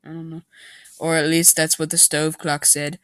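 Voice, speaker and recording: Guy reading some story out loud sarcastically, really dry close sound, keeps throwing in snarky asides. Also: fairly high pitch, monotone, normal speed, no echo. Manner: sarcastic, exaggerated delivery. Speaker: amateur reader of a story.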